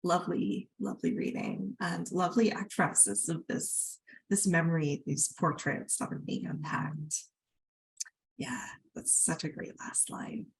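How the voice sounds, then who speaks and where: A woman with a husky voice hosting a literary reading in a casual, appreciative way. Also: fairly low pitch, unhurried, low-quality recording. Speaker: host of an online literary reading.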